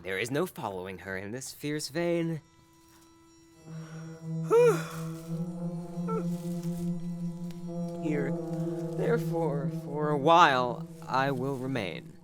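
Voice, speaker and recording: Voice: mid-range voice, lively intonation, very slow, pauses often, music in the background. An actor playing a spurned, ardent young man in love in a Shakespeare audio drama, impassioned and melodramatic. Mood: resigned. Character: ardent, spurned young male suitor, Demetrius.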